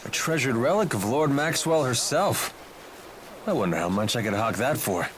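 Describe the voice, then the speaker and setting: A man's voice: mid-range voice, expressive, moderate pace, a bit bright, slight background noise, close to an everyday voice. A man playing a sly mercenary in a video game, talking in a casual, sardonic, teasing way.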